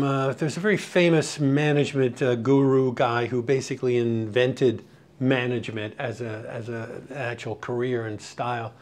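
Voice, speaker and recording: A man's voice: fairly high pitch, a little mellow. A middle-aged or older man giving an informal, rambling lesson as a radio programming coach, conversational and full of "you know". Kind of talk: informal spoken lesson with anecdotes.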